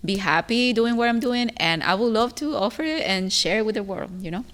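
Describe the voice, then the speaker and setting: A woman's voice: a little high, fast talker, a bit bright, smooth. A young woman from Ecuador, a guest talking casually and chattily, full of "you know" fillers. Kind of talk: casual interview talk.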